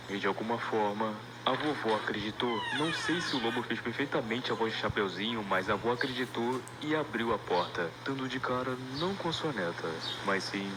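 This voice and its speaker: A man's voice: mid-range voice, background noise. A man with a Brazilian accent giving casual story commentary, colloquial, with crude joking asides. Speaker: video narrator.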